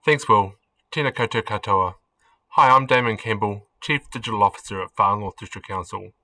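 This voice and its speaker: A man's voice: lowish voice, moderate pace, bright, forceful tone, no echo. A man, a chief digital officer, giving an upbeat scripted presentation. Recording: voice somewhat distant from mic.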